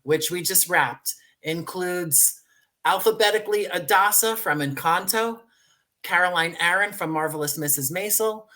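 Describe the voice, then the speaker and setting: A man's voice: high voice, moderate pace, pushed and bright. A man speaking as an interview guest, articulate and carefully enunciated, presenting a project in conversation. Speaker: guest, audio drama creator.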